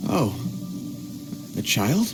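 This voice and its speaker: A man's voice: very slow, background noise. A man playing a formal, earnest militia guard in a video game, easily flustered. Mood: formal, concerned. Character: dutiful, flustered young male militia guard on patrol. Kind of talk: video game character acting. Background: game music.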